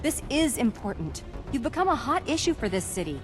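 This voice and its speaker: A pushy young female reporter, fairly high and animated, pressing her point boldly over clear background music. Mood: eager, insistent. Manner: insistent, brisk questioning. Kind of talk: dramatic video game acting. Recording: music behind the voice.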